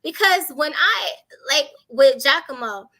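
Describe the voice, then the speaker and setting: An American woman giving candid conversational advice on a panel, animated and informal, illustrating points with anecdotes. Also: sits high, measured pace. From Chicago, United States.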